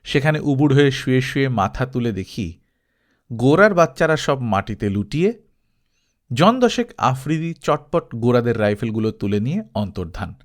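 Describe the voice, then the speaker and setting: Man narrating with lively, swooping intonation. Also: medium pitch, normal speed. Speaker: audiobook narrator. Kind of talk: expressive literary storytelling, reading a humorous travelogue.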